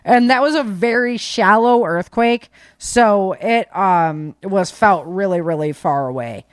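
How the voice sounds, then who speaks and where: A woman's voice: mid-range voice, unhurried, clean tone, dry recording, studio quality. A woman podcast host casually reading through disaster news, with informal, chatty asides to listeners.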